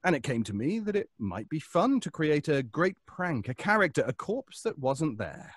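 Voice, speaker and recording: A man's voice: on the high side, very animated, normal speed, breathy edge, dry recording. A man playing a vengeful, mad failed actor in old-time radio suspense style, taunting his trapped rival with theatrical mock courtesy. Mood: sly, gloating.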